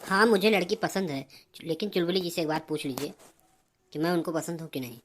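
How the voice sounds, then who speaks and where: A man's voice: fairly high pitch, brisk, a bit bright. A man voicing a polite young groom-to-be in a comedy cartoon. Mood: courteous. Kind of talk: Hindi comedy cartoon dubbing.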